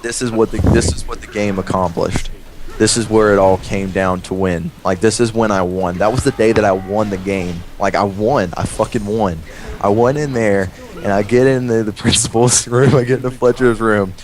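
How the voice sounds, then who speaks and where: A man's voice: lowish voice, moderate pace. A young man telling a story casually in a rambling, profane way, acting out dialogue as he goes. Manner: rambling, profane, animated retelling with quoted dialogue. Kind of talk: casual storytelling over gameplay.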